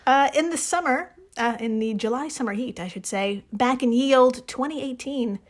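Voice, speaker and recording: A woman's voice: high voice, moderate pace. A woman hosting a podcast, telling stories casually to a co-host in an irreverent, jokey way with loose, profane language. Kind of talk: casual comedic storytelling to a co-host.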